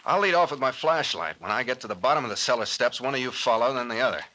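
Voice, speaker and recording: An actor playing a commanding, wry, tough captain who gives clipped orders and wisecracks in old-time radio style. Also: male voice, medium pitch, expressive, fast, bright, forceful tone. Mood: brisk, commanding. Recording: noisy old radio recording with clicks.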